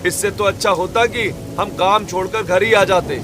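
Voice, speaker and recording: A man playing a hungry, irritable grown farmer son in an animated family story, complaining emphatically. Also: sits high, normal speed, background noise, natural voice. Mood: irritated, complaining. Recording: loud rain ambience behind voice.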